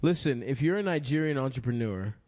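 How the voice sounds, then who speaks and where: A man's voice: medium pitch, animated, fairly quick, a little mellow. A man bantering casually as a comedy podcaster.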